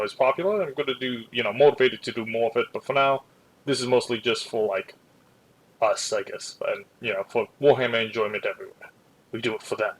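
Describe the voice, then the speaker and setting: A man's voice: fairly low pitch, fairly bright tone, poor audio. A man chatting casually as a creator introducing their own work, rambling and self-deprecating. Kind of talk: casual chat.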